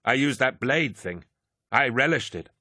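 A man's voice: mid-range voice, animated, unhurried, bright, forceful tone, dry recording, voice pushed up. A male narrator puts on a proud young warrior in a science fiction audiobook. The voice is lifted and boyish, earnest and solemn in delivery. It is recorded very dry. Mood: contrite.

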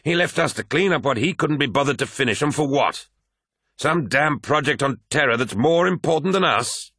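A huge warrior captain, as a male narrator plays him, seething and anguished. His voice pushes up well above a narrator's pitch, growling and agitated, in a dry sci-fi audiobook recording. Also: expressive, normal speed, sharp tone, slightly rough, dry recording, exaggerated voice. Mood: raging, bitter. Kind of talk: dramatic science-fiction audiobook dialogue.

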